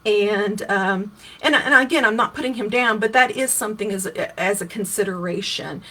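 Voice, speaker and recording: A woman in her fifties from Kentucky talks straight to her viewers in a casual livestream, walking them through practical details. Her voice is husky and sits at a middle pitch, and she often restarts a phrase or adds a filler as she goes. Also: normal speed, a bit bright. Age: 50s. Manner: conversational, with many restarts and fillers, answering viewer questions. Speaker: political candidate hosting her own livestream. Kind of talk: casual explanatory livestream talk.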